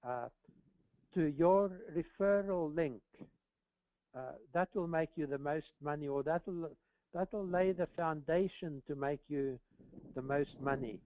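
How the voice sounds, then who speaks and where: Slow, halting man on a phone line. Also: on the high side, dry recording. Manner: halting, deliberate, repeats and restarts words. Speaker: featured speaker answering callers' questions. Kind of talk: question-and-answer on a live call. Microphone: phone or conference call line. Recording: narrow phone-line sound.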